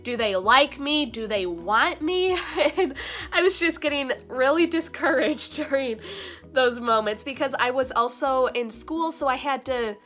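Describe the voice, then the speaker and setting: A woman's voice: high-pitched, lively intonation, unhurried, a bit bright, soft background music. A young adult woman chatting casually to her vlog audience, bubbly and talkative, a little nasal from a recent cold. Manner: bubbly, chatty, frequent fillers and laughs. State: slightly nasal from recovering cold. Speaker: vlogger.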